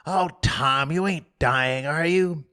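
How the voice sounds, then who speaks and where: A man's voice: sits high, sing-song, takes it slowly, pushed and bright, voice pushed up, heavily acted. A man voicing a young boy who is anxious and pleading with his brother.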